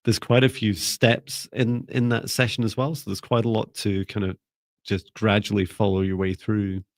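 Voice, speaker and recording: A man teaching a live online spiritual class, speaking in a calm, gentle, soft-spoken way and pausing often to restart his sentences. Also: fairly low pitch, dry recording, studio quality. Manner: hesitant, with repeated restarts. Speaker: spiritual mentor. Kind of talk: live online class, reading viewer comments.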